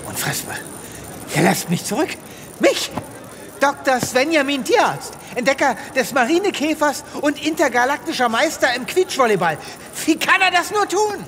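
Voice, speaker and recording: A man's voice: extremely high pitch, very animated, normal speed, fairly bright tone, a little hiss or hum, heavily acted. A man playing a vain, pompous space veterinarian in a comic audio drama, with melodramatic delivery. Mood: indignant.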